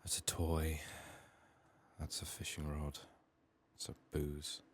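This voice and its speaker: Very deep, soft-spoken man. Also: monotone, slow pace, slightly rough. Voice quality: hushed, soft, sometimes slipping into whisper. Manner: muttering, thinking out loud. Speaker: gaming video host. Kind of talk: soft-spoken ASMR game playthrough commentary.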